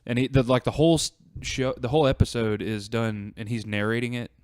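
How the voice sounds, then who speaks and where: Smooth, clear-voiced man chatting. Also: medium pitch, steady tone, fairly quick, no echo, clean studio sound. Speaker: podcast panelist. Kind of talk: casual chat. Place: podcast studio.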